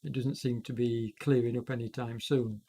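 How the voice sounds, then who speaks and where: A man's voice: medium pitch, fairly even intonation, moderate pace, dark tone, breathy edge. Congested man commentating a virtual bike race. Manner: rambling, self-correcting, many fillers. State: congested with a chest cold. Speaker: e-racing commentator and streamer.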